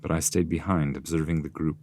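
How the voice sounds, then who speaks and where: Male narrator, epic poem: measured, solemn, notably deep with vocal fry. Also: level tone, a little mellow, a little hiss or hum. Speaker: translator and narrator. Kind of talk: scripted literary narration of epic poetry.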